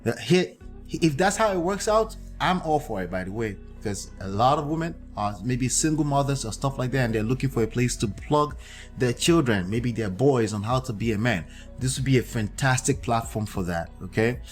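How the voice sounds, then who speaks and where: A man's voice: medium pitch, lively intonation, normal speed. A man with a Nigerian accent speaks in a middle register as he hosts his own livestream. He lectures in an instructive tone and keeps checking in with his listeners. Faint music runs underneath. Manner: lecturing, checks in with "okay?" and "right?". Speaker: livestream host. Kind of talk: livestream commentary.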